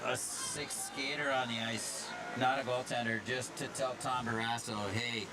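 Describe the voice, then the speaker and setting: A man's voice: mid-range voice, little pitch movement, unhurried, low-quality recording. A man giving casual color commentary at an ice hockey game, telling rambling stories full of fillers and restarts. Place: ice rink. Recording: loud rink ambience behind.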